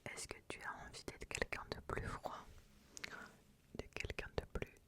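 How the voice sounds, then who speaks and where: A woman speaking in a soft, unvoiced whisper as an ASMR host, addressing the listener directly with repeated phrases. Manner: slow, repetitive phrasing, repeating questions and words. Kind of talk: ASMR personality-test roleplay, addressing the listener.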